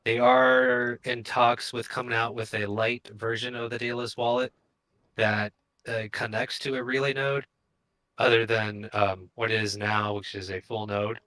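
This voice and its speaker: A man co-hosting a casual discussion, giving firm opinions in halting, unscripted phrases. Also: mid-range voice, little pitch movement, bright, forceful tone, low-quality recording. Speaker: co-host of a crypto show.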